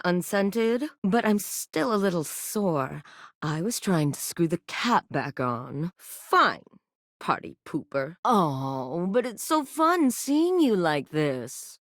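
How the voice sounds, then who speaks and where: A woman's voice: low-pitched, no echo, exaggerated voice. A woman voicing a flirtatious, brazen living sex toy in a video game, speaking in a sultry, teasing drawl on a close studio mic. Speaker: voice actor. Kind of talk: video game character voice lines.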